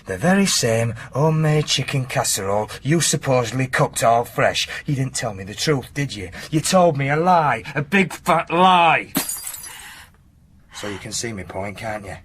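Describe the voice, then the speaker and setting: An actor playing a controlling, suspicious working-class husband in an intimate radio drama. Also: male voice, mid-range voice, expressive, normal speed, fairly bright tone, slightly rough. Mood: quietly menacing.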